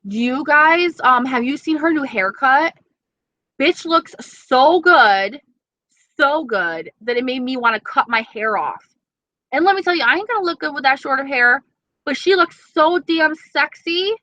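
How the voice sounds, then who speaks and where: A woman's voice: sits high, animated, measured pace, pushed and bright, dry recording, highs cut off. A young woman with an East Coast accent chatting casually on a live stream, reading viewer comments and swearing freely. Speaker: beauty vlogger.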